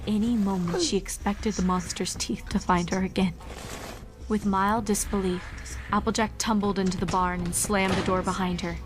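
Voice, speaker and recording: A woman's voice: medium pitch, steady tone, normal speed, faint music behind. A woman giving a dramatic scripted narration of a horror story.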